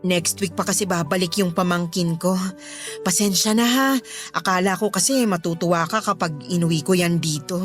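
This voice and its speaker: An actress with a Filipino accent playing a put-upon housemate in a radio drama, placating but answering back. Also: female voice, fairly low pitch, moderate pace, lightly acted. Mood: defensive, apologetic. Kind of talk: Tagalog radio drama acting. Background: background music.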